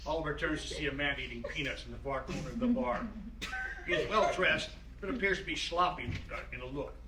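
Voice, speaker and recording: A man's voice: on the high side, brisk. A man narrating stage directions in a scripted play reading. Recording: echoey room, distant mic, faint noise.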